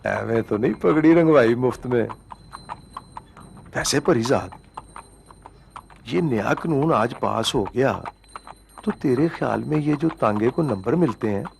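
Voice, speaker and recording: An actor playing a cocky, hopeful horse-cart driver in a television drama, speaking colloquially with a Punjabi accent in a jaunty, sardonic way. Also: male voice, medium pitch, creaky, slight background noise. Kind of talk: colloquial television drama acting, musing aloud.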